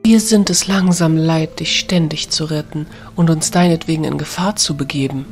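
A man's voice: on the high side, steady tone, moderate pace, faint music behind, voice pushed up, lightly acted. A man voicing a dignified female leader of wild creatures in a fantasy audio drama, formal and courteous. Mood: stern.

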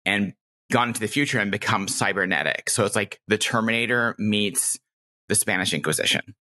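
A woman's voice: rumbling low, fairly quick, sharp tone. A middle-aged woman chatting casually and enthusiastically as a podcast guest, telling anecdotes. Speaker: podcast guest, game master.

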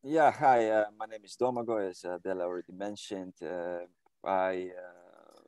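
A man's voice: low-pitched, steady tone, moderate pace, darkish tone, smooth, no room sound. A man in his thirties from Croatia, answering interview questions on a video call from a room at home, hesitant with many fillers and restarts. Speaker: guest.

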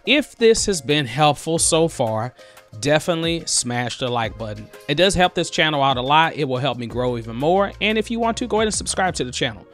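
A man in his thirties, an excitable, conversational host walking through music software in a home studio on a headset mic. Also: medium pitch. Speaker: music producer, tutorial host. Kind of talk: screen-share software tutorial. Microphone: headset with boom mic.

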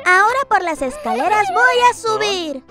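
A woman voicing a small, excitable lion child in rhyming children's cartoon dialogue. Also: extremely high pitch, normal speed. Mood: eager, strained. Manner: singsong, exclaiming in rhyme. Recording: loud children's music behind.

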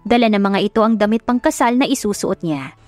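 A woman's voice: mid-range voice, lively intonation, brisk, no room sound. A woman reading a scripted love story as a dramatic audiobook narrator. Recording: music behind the voice. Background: music.

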